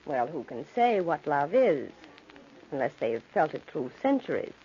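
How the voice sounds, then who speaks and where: Ancient Egyptian enchantress: seductive, menacing, theatrical, wide melodic swings, muffled vintage radio sound. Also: female voice, low voice, very animated, warm tone. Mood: haughty, seductive. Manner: theatrical, haughty, deliberate phrasing. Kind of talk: old-time radio drama acting. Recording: muffled, noisy old radio recording.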